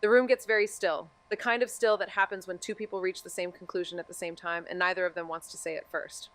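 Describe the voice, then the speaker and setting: A woman's voice: fairly low pitch, fairly even intonation, fast talker, fairly bright tone, no room sound, rough recording. A woman acting a wry, profane young amateur detective in a noir audio drama, moving between casual banter and narration. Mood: wry, hushed narration.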